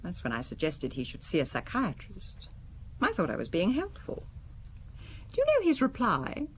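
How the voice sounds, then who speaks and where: A proud, haughty businesswoman in an audio drama. Her voice is very low and highly expressive, swinging in pitch while she stays composed, defensive and faintly scornful. Faint noise sits behind her. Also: normal speed, low-quality recording. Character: cool, proud, faintly contemptuous married businesswoman. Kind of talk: radio drama acting.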